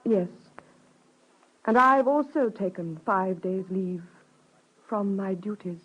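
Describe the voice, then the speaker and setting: Casting: sorrowful lover in wartime, husky, intimate, restrained pleading, muffled vintage radio play. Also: female voice, medium pitch, slow pace, fairly bright tone. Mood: quiet, weary. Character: sad, weary wartime woman, the officer's lover. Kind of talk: vintage radio drama acting. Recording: dull vintage radio recording.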